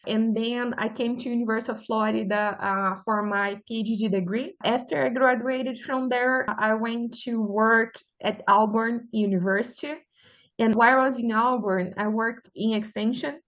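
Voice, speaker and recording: A woman with a Brazilian Portuguese accent, Portuguese her first language, presents on pasture forages. She speaks in an earnest, explanatory way, with frequent "uh" pauses and a slightly raised pitch. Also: steady tone, a bit bright, highs cut off. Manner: many "uh" fillers, earnest and explanatory. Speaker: forage extension specialist. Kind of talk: webinar presentation.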